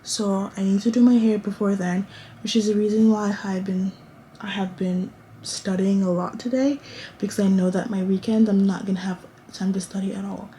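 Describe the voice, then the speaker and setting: A woman in her late teens talks to camera from her college dorm room, chatty and rambling, often restarting her thoughts. Her voice is soft and mellow, with a faint hiss underneath. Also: mid-range voice, steady tone, moderate pace, rough recording. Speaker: vlogger. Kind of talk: casual chat to camera.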